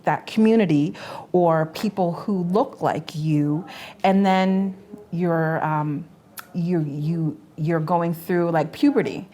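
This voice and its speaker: A woman's voice: on the low side, fairly even intonation, slow, dark tone. A Canadian woman in her forties, co-hosting a casual panel chat, earnest and stumbling over repeated words as she makes her point. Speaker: co-host.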